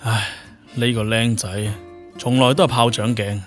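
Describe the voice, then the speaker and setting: A man's voice: medium pitch, fairly even intonation, a little slow, soft background music, close to an everyday voice. A man playing a mild-tempered police superior who can be stern, in an audio drama. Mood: resigned. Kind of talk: Cantonese audio drama acting.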